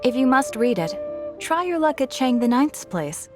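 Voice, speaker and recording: A woman's voice: high-pitched, big pitch swings, normal speed. A woman voicing a matter-of-fact, helpful female bookseller in a video game, composed and frank. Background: music.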